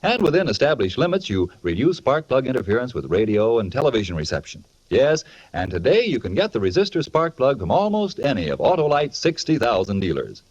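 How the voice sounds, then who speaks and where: Highly animated vintage radio pitchman. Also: a little fast, hardly any pauses, breathy edge. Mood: upbeat, selling. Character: folksy, eager salesman explaining product benefits. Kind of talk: scripted 1950s radio ad banter. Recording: dull vintage radio recording.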